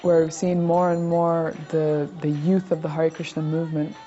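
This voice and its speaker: A woman's voice: sits low, level tone, moderate pace, dark tone. A woman speaking thoughtfully in a documentary interview. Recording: lo-fi with faint music.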